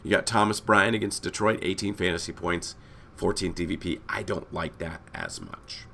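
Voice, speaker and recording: A hoarse man who is losing his voice, hosting a casual fantasy basketball breakdown that rambles and corrects itself as he talks to viewers. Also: sits low, lively intonation, normal speed, bright, forceful tone, a little hiss or hum. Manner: rambling, self-correcting, addresses viewers as guys. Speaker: fantasy sports analyst host. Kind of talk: casual screen-share breakdown.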